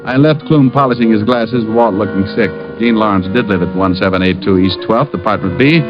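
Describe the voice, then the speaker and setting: A man's voice: fairly low pitch, brisk, music in the background, lightly acted. A man playing a wisecracking, sardonic private detective in an old-time radio drama, dry and flippant. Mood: wry narration. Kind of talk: 1940s-50s radio detective acting and first-person narration. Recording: muffled old radio recording.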